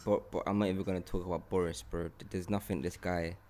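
Low-pitched young man chatting. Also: fast talker. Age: young adult. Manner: animated, repeats phrases for emphasis, slangy. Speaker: podcast host. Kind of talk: casual opinionated chat.

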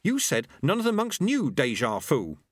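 An earnest young monk, stubborn and quick to protest, his voice raised well above a plain speaking pitch and moving lively up and down, as a male narrator plays him. Close, dry sound. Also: no echo. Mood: pinned protesting. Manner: earnest, indignant, firm.

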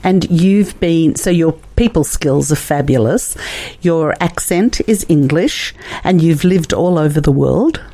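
A woman hosting a conversational interview, talking in an unhurried, complimentary way. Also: sits low, dark tone, no room sound. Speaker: host.

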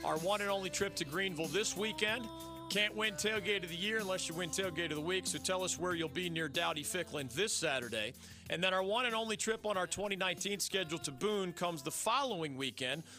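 Man, high bright voice, sports talk host, emphatic, dry studio. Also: no echo. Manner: fast, emphatic, opinionated, rising to rhetorical peaks. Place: radio studio. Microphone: studio mic.

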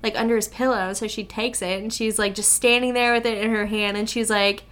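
A woman's voice: on the high side, brisk. A woman co-hosting a podcast, chatting casually about a book and peppering her speech with "like". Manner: rambling, heavy use of "like", expressive retelling. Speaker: podcast co-host. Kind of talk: casual book-discussion chat.

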